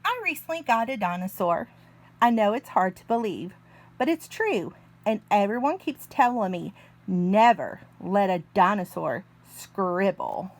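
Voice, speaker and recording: A woman reading a picture book aloud to young children in an expressive, deliberate way. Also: mid-range voice. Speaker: children's story reader, early learning teacher.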